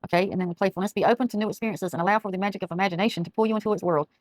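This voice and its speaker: A middle-aged woman, chatting through a tarot reading and reading aloud from a card book, her voice going hoarse as she often loses her words. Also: medium pitch, fairly even intonation, rapid-fire, no room sound. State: losing her voice. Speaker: tarot reader. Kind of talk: casual tarot reading to camera. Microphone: camera mic, speaking to camera.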